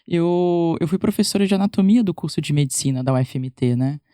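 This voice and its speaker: A woman's voice: lowish voice, fairly quick, dark tone, no room sound, studio quality. A woman with a Paraná Brazilian accent, a physiotherapist chatting informally as a podcast guest, full of fillers and restarts. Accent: Paraná Brazilian Portuguese. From Brazil, born in Minas Gerais, raised in Paraná. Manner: chatty and informal, many fillers and self-corrections. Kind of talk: casual interview conversation.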